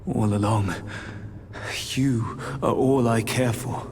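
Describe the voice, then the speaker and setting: A man's voice: medium pitch, fairly even intonation, slow, darkish tone, a little hiss or hum, natural voice. An actor playing a scheming, silver-tongued male immortal in a video game, formal, insinuating and theatrical. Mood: amorous. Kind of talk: dramatic video game cutscene acting. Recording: voice under prominent music.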